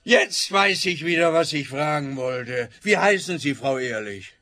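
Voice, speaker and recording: An actor playing a scatterbrained, self-satisfied male policeman in a comic radio play, pompous and slow-witted in manner. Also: on the high side, expressive, pushed and bright. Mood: pleased, eager.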